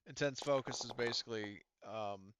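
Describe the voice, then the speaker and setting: A man acting as game master in casual tabletop talk, haltingly thinking aloud as he explains. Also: medium pitch, a bit bright, slight background noise.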